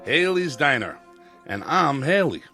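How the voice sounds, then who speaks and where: Folksy, bright-voiced diner owner. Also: male voice, fairly high pitch, very animated, measured pace, breathy edge, faint music behind, exaggerated voice. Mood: wry, matter-of-fact. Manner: folksy, chatty, wry. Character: friendly, plain-spoken male diner owner and short-order cook. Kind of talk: radio drama acting.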